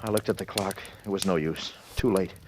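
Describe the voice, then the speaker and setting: A man's voice: sits low, a little fast, close to an everyday voice. Actor as a panicked man in a noisy radio thriller. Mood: anxious dread. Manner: tense, urgent, clipped short sentences. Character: panicked, desperate businessman hiding from a killer, narrating his ordeal. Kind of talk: 1940s radio thriller acting, first-person narration. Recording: noisy old-time radio recording.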